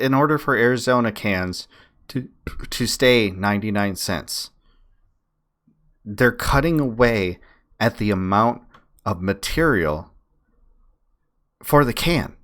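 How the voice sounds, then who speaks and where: A man's voice: mid-range voice, takes it slowly, long pauses, fairly bright tone. A man arguing casually and emphatically, repeating his points as in an online debate. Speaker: streamer and debater. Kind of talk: casual argumentative debate talk.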